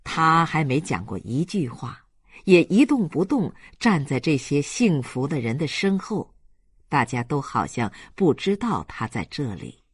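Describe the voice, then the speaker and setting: A man's voice: fairly high pitch, big pitch swings, unhurried, husky, dry recording, clean studio sound. A man narrating a classic novel as an audiobook in a dramatic literary style.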